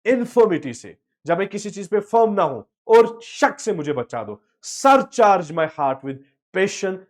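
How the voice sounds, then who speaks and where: A high-voiced man teaches a poem to students on a close mic. He reads each English line slowly, then explains it at length. His voice sinks low and soft as each prayer line ends. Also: big pitch swings.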